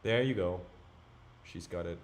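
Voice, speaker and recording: A man's voice: low-pitched, a little slow, slight background noise. A young man from Germany with a German accent tinged by British and American sounds, chatting casually as he thinks aloud during a live stream. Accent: German with British and American traces.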